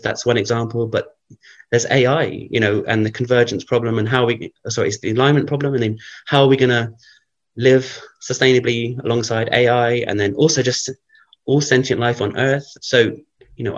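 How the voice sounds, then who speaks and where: A man being interviewed as a guest researcher, with a croaky early morning voice, thinking aloud and rambling with many fillers. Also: medium pitch, muffled recording. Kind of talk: casual podcast interview.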